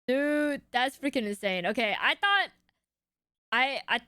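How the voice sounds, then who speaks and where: A woman streaming a game, reacting casually with slangy, expressive exclamations. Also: high voice, a little slow, smooth, no room sound, clean studio sound. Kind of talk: casual live reaction commentary. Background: game music.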